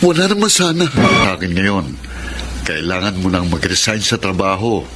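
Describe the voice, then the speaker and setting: Low-voiced devoted young husband. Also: male voice, very animated, moderate pace, background noise. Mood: worried. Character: devoted, worried young husband and expectant father. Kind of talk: radio drama acting.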